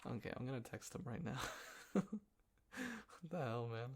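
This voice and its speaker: A man acting an easygoing, playful young guy in a casual roleplay audio, talking in a halting, chuckling, naturalistic way with a friend. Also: medium pitch, gravelly, a little mellow, husky. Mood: amused exasperation. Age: young adult.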